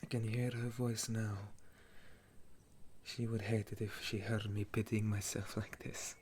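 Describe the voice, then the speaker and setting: A man playing a weary, tender legendary elf war hero in an intimate ASMR roleplay, speaking in a soft, breathy half-whisper. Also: lowish voice, level tone, a little hiss or hum, natural voice. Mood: somber, grieving. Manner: slow, gentle, wistful storytelling.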